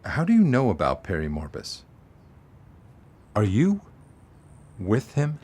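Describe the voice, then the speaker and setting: An actor playing a worried investigator who uses a wheelchair and pleads with his sister in an audio drama, with a rough voice. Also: on the low side, huge pitch swings, slow, a little mellow, exaggerated voice. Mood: pleading.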